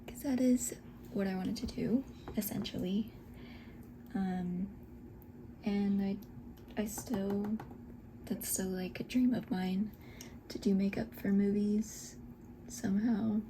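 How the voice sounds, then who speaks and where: A woman in her twenties, born in Scotland, chatting softly in a breathy half-whisper while doing her makeup at home, unhurried and full of little fillers. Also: medium pitch, steady tone, noisy background. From Scotland, raised in Texas. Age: 20s. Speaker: esthetician and beauty vlogger. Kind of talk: soft whispered get-ready-with-me chat.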